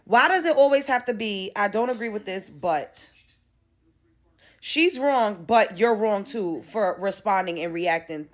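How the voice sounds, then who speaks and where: A woman's voice: mid-range voice, expressive, a little slow. A woman, ranting bluntly and profanely as an online commentator and comedian. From Brooklyn, New York. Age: 30s. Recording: roomy echo.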